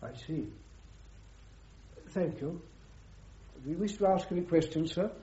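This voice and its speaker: An actor playing a courteous, exacting judge advocate in a radio courtroom drama, formal and precise. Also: male voice, fairly high pitch, a little slow, warm tone, natural voice. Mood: courteous, firm. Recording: dull, echoey radio drama recording.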